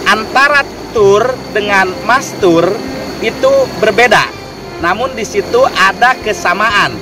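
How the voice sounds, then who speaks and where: A man giving an explanatory religious lecture in a measured, didactic way, phrase by phrase. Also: sits high. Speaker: lecturer on Quranic studies. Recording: distant mic with music behind. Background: clear background music.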